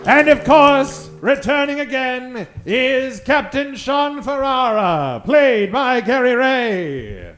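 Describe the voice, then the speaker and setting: A man announcing and narrating an old-time radio serial, theatrical, with dramatic pauses. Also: extremely high pitch, big pitch swings, a bit bright, some chatter behind. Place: live stage performance. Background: music.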